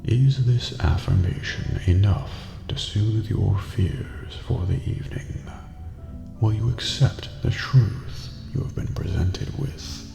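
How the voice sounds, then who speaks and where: A man voicing an eerie, courteous old master of a dream prison in a video game ASMR roleplay, speaking formally in a breathy near-whisper. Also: low-pitched, exaggerated voice. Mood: solemn, soothing. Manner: slow, formal, measured, cryptic. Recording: reverberant hall, muffled, music behind. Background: music.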